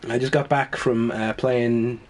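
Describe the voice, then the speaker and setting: A man talks through a video game in a loose, rambling way, wry and quick to laugh. He sounds snuffly from a cold. His voice sits at an ordinary middle pitch. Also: level tone. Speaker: let's play host. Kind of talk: casual gameplay commentary.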